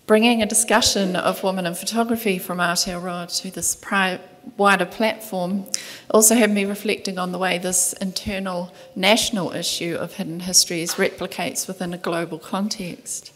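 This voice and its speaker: Soft-spoken New Zealand woman in a hall. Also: fairly low pitch, steady tone. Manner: soft-spoken, measured reading. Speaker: conference speaker, museum curator. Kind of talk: read conference paper. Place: large lecture hall with audience. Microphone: table microphone at panel desk. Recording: reverberant lecture hall.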